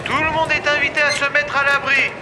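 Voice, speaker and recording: A very high-pitched storm alert announcer. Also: male voice, level tone, brisk, background noise. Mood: urgent. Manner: repetitive, official. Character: urgent storm-warning announcer in a cartoon town.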